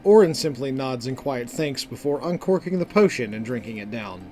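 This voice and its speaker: Measured male fantasy narrator over soft music. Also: mid-range voice, expressive. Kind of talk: scripted fantasy story narration. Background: background music.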